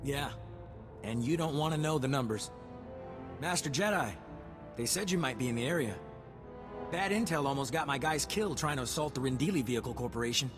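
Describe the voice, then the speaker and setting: A man playing a brash, harried squad leader in a video game, talking in an informal, brisk military way. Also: mid-range voice, lightly acted. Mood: wry, urgent. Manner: informal, brisk soldier talk. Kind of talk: video game cutscene acting. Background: game music.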